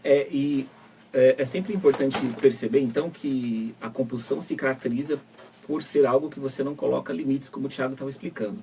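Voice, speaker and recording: Brazilian radio co-host guy, calm, little teasing, mic a bit far. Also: medium pitch, a little hiss or hum. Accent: Brazilian Portuguese. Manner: teasing, measured, asks framing questions. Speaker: co-host psychologist. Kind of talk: live web radio talk show.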